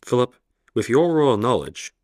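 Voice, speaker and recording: A man playing an elderly, kindly Oxford professor who speaks in a calm, measured, reassuring way in a radio play. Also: medium pitch, dry recording, clean studio sound. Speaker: professor character.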